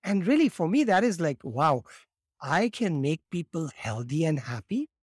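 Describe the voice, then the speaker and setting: Animated man in his fifties, dry sound. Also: a little high, moderate pace, husky, no echo. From born in Morocco, raised in India. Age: 50s. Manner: animated, acts out quotes, repeats words when starting. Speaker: guest, laughter yoga teacher. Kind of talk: podcast interview storytelling.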